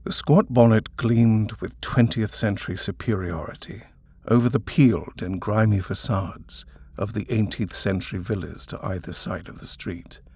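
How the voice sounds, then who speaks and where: A man with a husky voice narrating a scary story from a script in a dramatic reading style. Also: lowish voice, moderate pace, darkish tone, dry recording. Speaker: audiobook narrator.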